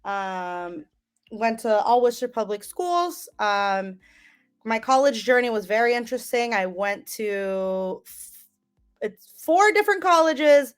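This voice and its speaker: A young woman from the United States telling her life story as an interview guest, relaxed and rambling with lots of ums. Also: on the high side, unhurried, smooth. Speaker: guest, business owner.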